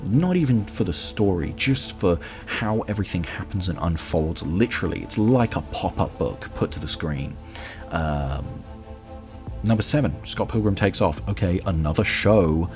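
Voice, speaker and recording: A man hosting a show, talking casually and haltingly through a film countdown with blunt, emphatic opinions. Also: low-pitched, expressive, darkish tone, faint music behind. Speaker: show host.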